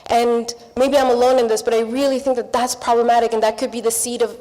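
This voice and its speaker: A woman's voice: a little high, little pitch movement, quick pace, dark tone. A Pakistan-born woman, English not her first language, speaking earnestly at a seminar panel and listing her points in repeated phrases. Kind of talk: panel discussion remarks. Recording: reverberant hall.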